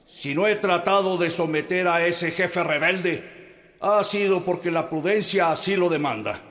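A grave revolutionary chief in a hall. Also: male voice, high voice, a little slow, fairly bright tone. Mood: solemn. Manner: formal, grave oratory. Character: stern aging revolutionary leader resigning command. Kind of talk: radio drama acting.

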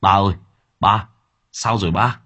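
A man's voice: fairly low pitch, fairly even intonation, unhurried, pushed and bright. A man doing an anxious, earnest son. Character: worried middle-aged son. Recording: dull lo-fi recording.

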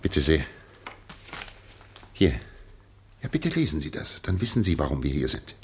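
An actor playing a calm, authoritative gentleman detective, speaking in a measured, precise, courteous way with a husky voice in a 1960s German radio play. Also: a bass voice, slight background noise, exaggerated voice. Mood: composed, insistent.

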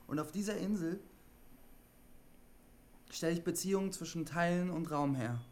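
A man's voice: fairly high pitch, normal speed, natural voice. An actor playing a withdrawn, literal-minded CB radio operator in his twenties, matter-of-fact and pedantic, in a naturalistic radio drama. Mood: withdrawn, earnest. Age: 20s.

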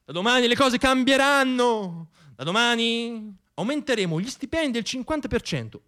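A man's voice: very high voice, expressive, normal speed, bright, forceful tone, pitched above the performer's own voice, full character voice. A man playing a grandiose politician declaiming impossible promises in a satirical radio monologue. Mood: grandiose promising. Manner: declamatory, pompous.

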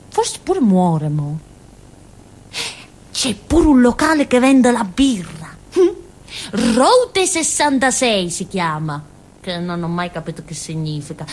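An actress playing a naive, simple-minded village woman in a theatre monologue, with a southern Italian accent and a childlike, halting, colloquial way of telling things. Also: fairly high pitch, exaggerated voice. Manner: childlike, halting, giggly, muttering little asides. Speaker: stage actress.

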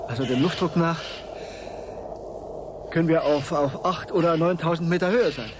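A man's voice: a little high, moderate pace, close to an everyday voice. Man acting an eager space explorer, noisy recording. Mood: curious. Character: eager, stubborn male spaceship crewman bent on landing. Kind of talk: German radio drama acting.

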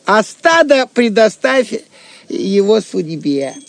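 High, creaky-voiced cheeky jester. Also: male voice, sing-song, slow, no room sound, exaggerated voice. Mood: mock-grand, playful. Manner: mock-grand, playful. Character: Wamba, cheeky male jester, playfully pompous. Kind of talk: audio drama acting.

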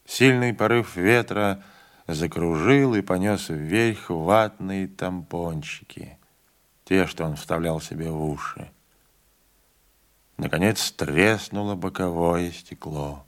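A man narrating a literary audiobook in a measured, dramatic storytelling manner. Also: deep voice.

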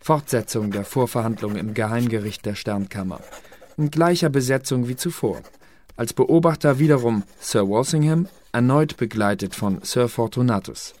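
An actor playing a formal, matter-of-fact court clerk reading out the record in a German radio drama. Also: male voice, mid-range voice, darkish tone, natural voice.

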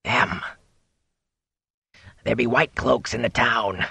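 A man voicing a ragged, wary peddler in an audiobook, whining and self-pitying in a hoarse rasp. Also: medium pitch, very animated, unhurried, sharp tone, no echo, pitched above the performer's own voice, heavily acted. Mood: furtive, fearful. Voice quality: raspy, hoarse. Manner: whining, jittery, aggrieved. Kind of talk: audiobook character voice.

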